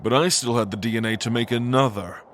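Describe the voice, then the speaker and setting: A man's voice: medium pitch, quick pace, a bit bright, a little hiss or hum, lightly acted. A man playing an ancient, arrogant angel lord, a gloating villain who speaks with grandiose contempt in a fantasy audio drama.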